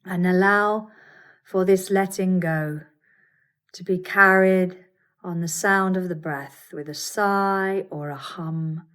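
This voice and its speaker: Female yoga nidra guide, lowish register, soothing and measured instruction for a meditation practice. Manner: calm, soothing, deliberate instruction with pauses. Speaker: yoga nidra teacher guiding a relaxation practice.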